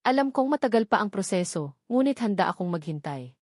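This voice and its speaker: A woman's voice: medium pitch, sing-song, a little fast, darkish tone, dry recording, studio quality. A woman reading scripted, dramatic narration as a storyteller.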